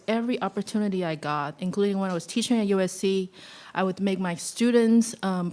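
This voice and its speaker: A woman's voice: mid-range voice, darkish tone. Chatty woman speaking off the cuff. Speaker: guest speaker, violinist and educator. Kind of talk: casual, reflective live talk.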